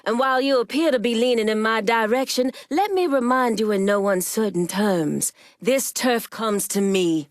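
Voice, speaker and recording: A woman playing a proud, shrewd Haitian gang boss in a video game, speaking in a stern, deliberate, profane street-tough way. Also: fairly high pitch, no echo, studio quality. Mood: insistent, proud. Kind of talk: video game character dialogue.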